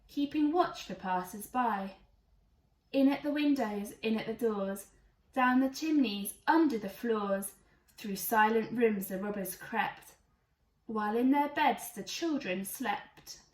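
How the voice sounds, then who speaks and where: A woman's voice: on the high side, recorded from a distance. A woman reading a rhyming children's storybook aloud in a theatrical, emphatic way. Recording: echoey room, away from mic.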